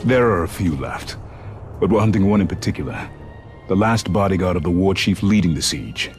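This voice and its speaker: Steady soldier, low pitch, animated intonation, dry wit, video game with music. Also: male voice, brisk. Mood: wry. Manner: dry, wry. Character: wry, steady human soldier. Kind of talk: video game cutscene acting.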